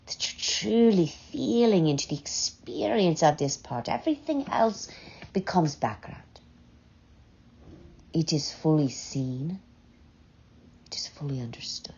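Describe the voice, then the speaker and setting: Very slow, low-voiced woman guiding meditation. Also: breathy edge. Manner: gentle, unhurried, with long pauses between short phrases. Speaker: guided meditation leader. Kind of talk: slow, soothing guided meditation. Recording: dull, muffled lo-fi sound.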